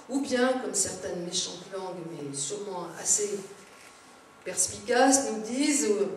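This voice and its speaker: A woman giving a public lecture to elected officials, explaining things with informal asides. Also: medium pitch. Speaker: conference speaker, local government adviser. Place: large echoing indoor seminar room. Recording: very echoey room, distant mic, background noise.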